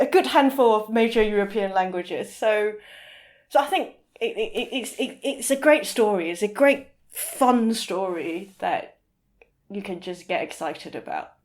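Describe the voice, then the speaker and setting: Woman, medium pitch, interview guest, casual chat, informal delivery. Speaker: podcast guest, literary translator.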